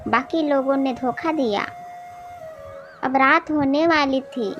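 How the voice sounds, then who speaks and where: A woman's voice: high voice, slow, dull audio, faint music behind. A woman narrating a scripted cartoon moral story as a storyteller.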